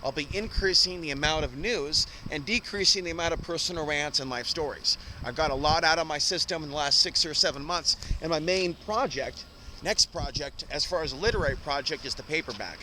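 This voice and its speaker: A man hosting his own independent show, talking in a rambling, unscripted way, halting and drifting into asides. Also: a little high, bright, forceful tone, slight background noise, low-quality recording. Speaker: host of an independent podcast and TV news show. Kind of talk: rambling unscripted monologue to listeners.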